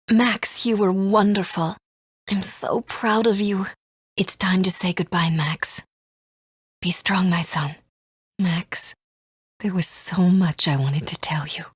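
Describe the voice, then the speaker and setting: A woman voicing a gentle, earnest mother and resistance fighter in a video game, soft and breathy, speaking in a measured, tender way. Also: medium pitch, no room sound, clean studio sound. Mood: tender, wistful. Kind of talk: English video game voice acting.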